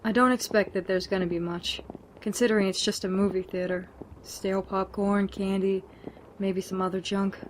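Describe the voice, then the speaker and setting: A woman's voice: medium pitch, fairly even intonation, normal speed, a little hiss or hum, lightly acted, low-quality recording. A woman playing a jaded, sardonic survivor in an audio drama, speaking dryly. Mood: casual.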